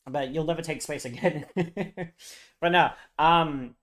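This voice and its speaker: Hoarse young man chatting on stream. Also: on the high side, takes it slowly, a bit bright. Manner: rambling, laughs often, swears casually. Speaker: live streamer. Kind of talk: casual live-stream chat with viewers.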